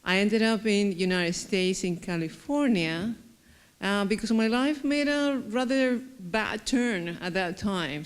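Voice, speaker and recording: Smooth-voiced woman with Chilean accent. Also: medium pitch. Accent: Chilean Spanish. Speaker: panelist. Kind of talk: panel self-introduction.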